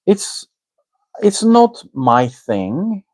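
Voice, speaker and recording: Halting man, native Armenian speaker, dry sound. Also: high-pitched, sing-song, a little mellow, no echo. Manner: hesitant, halting, with frequent check-in questions. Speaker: online teacher and discussion host. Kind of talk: casual spoken commentary on a book.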